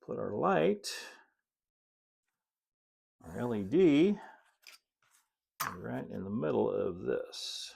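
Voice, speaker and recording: A middle-aged American man giving a casual hands-on tutorial, unhurried and folksy, thinking aloud as he works. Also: mid-range voice, big pitch swings, vocal fry, darkish tone, husky. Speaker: host, model railroad builder. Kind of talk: casual hands-on tutorial talk while working. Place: indoor hobby workshop at a cluttered workbench and layout.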